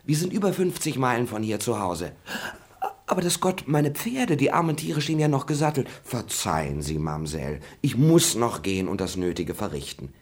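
A man's voice: sing-song, moderate pace, husky. An actor playing a male servant who woos a maid with flowery, teasing talk in a radio play. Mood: flirtatious. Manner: flowery, teasing, playful pitch swings. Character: male servant posing as gallant, flirtatious.

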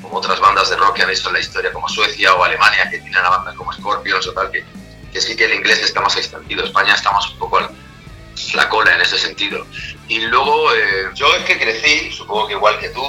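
Middle-aged man from Spain, very low rough voice, sharp and forceful edge, rambling interview talk, music under it. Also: fairly even intonation, fast, low-quality recording. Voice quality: rough, cracked voice. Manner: rambling, many restarts and tag questions. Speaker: rock singer interviewed as guest.

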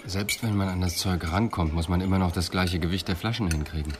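An actor playing a composed, insistent police detective in a German radio crime drama, asking measured questions. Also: male voice, deep voice, a crowd in the background, natural voice. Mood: musing, matter-of-fact.

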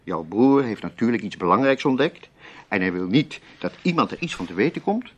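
A paternal, sensible gentleman in a radio drama. His husky voice swings widely in pitch. He is emphatic, soothing and somewhat patronising. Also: sing-song, a little fast, muffled recording. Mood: soothing, reassuring. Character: paternal, reassuring, sensible male friend of an actress. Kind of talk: Dutch radio play acting.

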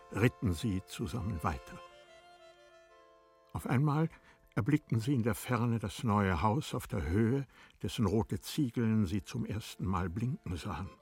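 Male narrator, husky, lowish pitch, formal scripted literary storytelling, deliberate delivery.

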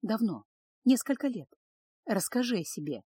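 A woman voicing a world-weary, consoling harem woman in an audiobook, gentle and measured. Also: a little high, sing-song, husky, dry recording. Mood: soothing, sad.

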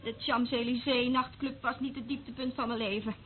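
Tinny old radio play, actress playing a flippant female eavesdropper, highish voice, blunt, mocking. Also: steady tone, fairly quick, lightly acted. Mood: scornful. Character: brash, flippant woman eavesdropper working for military junta. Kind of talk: Dutch radio drama acting. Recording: tinny, narrow-band old radio recording, faint noise.

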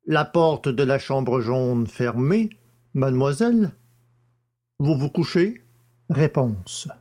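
A man reading a magistrate's questions deliberately in a dramatised audiobook. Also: mid-range voice, darkish tone.

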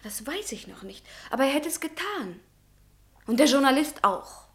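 An actress playing a sharp-witted, bold and protective young lady, assertive and knowing, in a German radio play. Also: high voice, very animated, normal speed.

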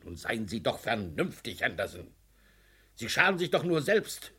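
A stern boss, widely swooping intonation. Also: male voice, medium pitch, very animated, moderate pace, a bit bright, slightly rough, heavily acted. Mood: stern, pressing. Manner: authoritative, formal address, biting. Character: stern, commanding male mine boss, sardonic toward his prisoner. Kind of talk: German radio crime drama acting. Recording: old radio play with faint noise.

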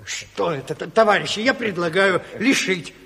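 High-voiced, fussy male committee official. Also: moderate pace, fairly bright tone. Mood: indignant. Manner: fussy, querulous protesting. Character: fretful, indignant, pedantic male committee official. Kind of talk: Soviet radio play acting. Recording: long hall reverb on 1970s radio play.

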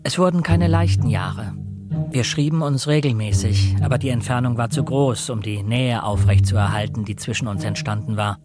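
A man acts an earnest young diplomat in a radio crime play. He moves between reflective narration and dialogue in a medium-pitched voice, with prominent music underneath. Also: moderate pace, lightly acted.

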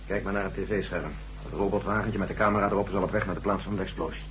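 A man's voice: low-pitched, steady tone, quick pace, fairly bright tone. A man playing a practical, authoritative, matter-of-fact mission leader in a radio drama, on a very noisy old recording. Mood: calm, firm.

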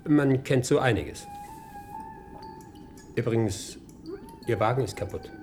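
An actor playing a nosy, dryly needling police inspector in a German radio play. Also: male voice, mid-range voice, takes it slowly, a little mellow, a little hiss or hum, natural voice. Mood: wry.

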